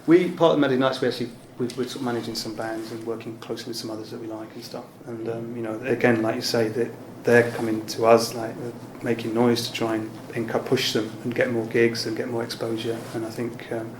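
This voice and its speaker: A man's voice: medium pitch, flat delivery, brisk, few pauses. A man chatting casually as an interviewer. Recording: echoey room with clear background noise.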